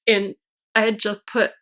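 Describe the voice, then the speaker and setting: A woman's voice: medium pitch, a bit bright. A young woman vlogger chatting casually while doing her makeup at home, rambling and self-deprecating as she gets over a sinus infection. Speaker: beauty vlogger. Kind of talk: casual get-ready-with-me chat. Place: downstairs at home by her vanity.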